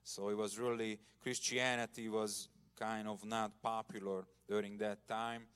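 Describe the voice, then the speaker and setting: A man's voice: fairly low pitch, level tone, unhurried, clean tone. A middle-aged man from Hungary with a Hungarian accent, preaching as a guest in a church and sharing his personal testimony earnestly. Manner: earnest, gets loud and emphatic at points of praise. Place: church sanctuary.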